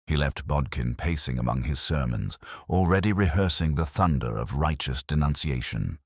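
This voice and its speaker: A man narrating a detective mystery in measured, literary storytelling. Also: rumbling low, animated, husky, no room sound, clean studio sound.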